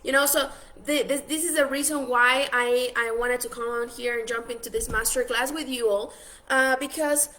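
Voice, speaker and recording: Ecuadorian woman, coaching a business class, highish voice, earnest, lots of "you know" restarts. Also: steady tone, normal speed, sharp tone. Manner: earnest, many restarts and fillers. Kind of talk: online masterclass talk.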